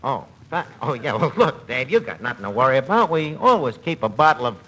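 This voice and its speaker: A man's voice: medium pitch, big pitch swings, brisk, sharp tone, slightly rough, full character voice. An actor playing a gullible, blustering New tavern manager in 1940s radio comedy, wisecracking and hesitant while mangling his grammar. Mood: hesitant, eager. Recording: noisy old radio broadcast recording.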